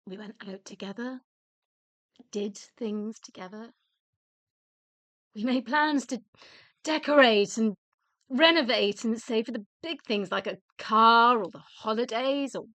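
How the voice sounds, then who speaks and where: An actress playing a weary, wounded wife in a radio play, candid and sardonic, hesitant at times and then emphatic. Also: female voice, fairly high pitch, fairly bright tone, no echo. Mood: wistful.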